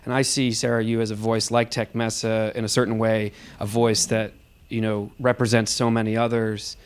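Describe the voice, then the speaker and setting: Man moderating a discussion, halting delivery. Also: on the low side, level tone, clean tone. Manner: halting, repeats words as he forms thoughts. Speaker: host, panel discussion moderator. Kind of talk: moderating an audience discussion after a performance. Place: live event venue, before an audience.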